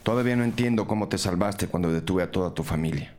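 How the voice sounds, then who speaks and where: An actor playing a seasoned, menacing police detective, cool and deliberate, in a crime audio drama. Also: male voice, deep voice, a little mellow, natural voice. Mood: cool menace.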